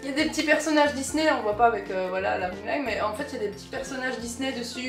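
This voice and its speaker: A woman's voice: a little high, very fast. A woman hosting a vlog, chatting casually to camera in a bubbly, exclamatory way. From Mons, Belgium. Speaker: YouTuber hosting a gift-swap video. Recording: roomy, distant mic, music underneath. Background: background music.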